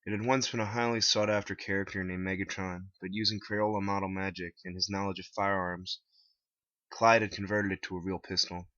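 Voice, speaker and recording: A man reading his own story aloud as a scripted dramatic narration in a deep voice. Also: level tone, a little fast, a bit bright, dry recording. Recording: dull lo-fi, dry close recording.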